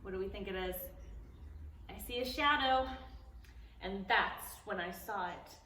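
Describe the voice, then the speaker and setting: A woman reading a picture book aloud to preschoolers at a library storytime, animated and playful, stopping to ask the children questions. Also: medium pitch, a little slow, slight background noise. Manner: playful, gasps and exclaims at the story. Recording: echoey room, voice a bit far from the mic.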